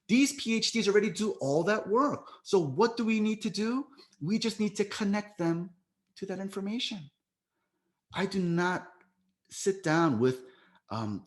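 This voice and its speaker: An American man from the United States giving a sales training talk on a video call, coaching listeners and often checking in with "right?". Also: on the high side.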